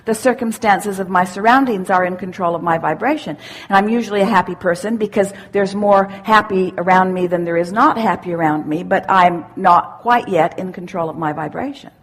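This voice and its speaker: Husky-voiced woman leading a seminar. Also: medium pitch. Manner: emphatic, deliberate, repeats phrases. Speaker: seminar speaker. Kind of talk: interactive seminar teaching with questions and anecdotes.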